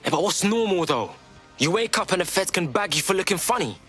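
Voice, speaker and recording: A young London resistance fighter in a video game, high in pitch and very animated. He is slangy and emphatic, a streetwise type with plenty of fight in him. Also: fairly quick, faint music behind. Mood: firm, encouraging. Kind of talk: video game cutscene acting.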